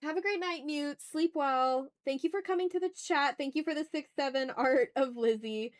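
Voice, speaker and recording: A woman's voice: high voice, normal speed. A woman chatting casually as an art livestream host, informal and thinking aloud as she draws. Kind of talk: casual livestream chat while drawing.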